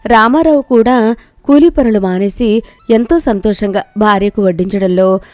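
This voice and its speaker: A woman's voice: mid-range voice, a little mellow, no room sound. A woman reading a scripted moral tale as a storyteller. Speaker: story narrator.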